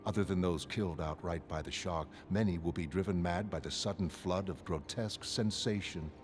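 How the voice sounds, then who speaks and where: Man voicing suave, cold mastermind, low voice. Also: a little mellow, lightly acted. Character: suave, coldly arrogant genius mastermind villain, adult man. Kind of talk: dramatic motion comic narration.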